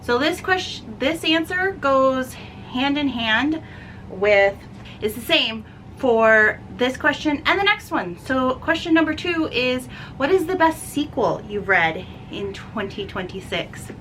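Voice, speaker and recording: A woman's voice: sits high, lively intonation, takes it slowly, fairly bright tone, a little hiss or hum, rough recording. A woman hosting a casual, chatty book vlog, talking enthusiastically and stressing words by repeating them. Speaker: book reviewer and video host.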